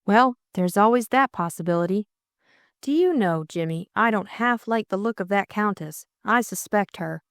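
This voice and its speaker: A woman's voice: mid-range voice, lively intonation, normal speed, dry recording, studio quality, close to an everyday voice. A woman voicing a keen, outspoken young upper-class Englishwoman who retorts plainly in audiobook dialogue. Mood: suspicious, retorting.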